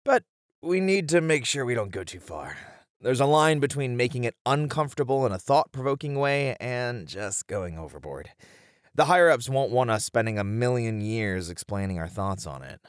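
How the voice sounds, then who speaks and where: A man's voice: mid-range voice, normal speed, smooth, no echo, clean studio sound, close to an everyday voice. A man playing a thoughtful game developer, candid and casual, uneasy yet eager, in an ASMR roleplay. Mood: cautious, eager. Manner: casual, hesitant, expressive.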